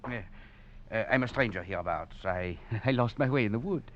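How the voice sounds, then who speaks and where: An actor playing an escaped French convict, a philosophizing rogue, narrating and talking with theatrical, florid phrasing and wry chuckles in 1940s radio drama style. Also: male voice, on the low side, expressive, a little fast, slight background noise, natural voice. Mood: sly, admiring. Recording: muffled old radio recording with music.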